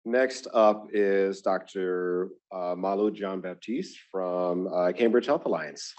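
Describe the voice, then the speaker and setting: A man's voice: low-pitched, slow, darkish tone. A man hosting an event, joking casually and introducing the next speaker with hesitant fillers. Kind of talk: casual moderating.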